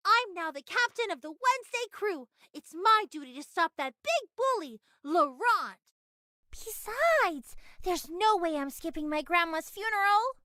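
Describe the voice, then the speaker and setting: A very high, childlike voice for a plucky little pirate captain in an anime game. The kid sounds earnest and determined, and the recording is dry and close. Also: female voice, sing-song, measured pace, pushed and bright, no echo, exaggerated voice. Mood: bold, bossy.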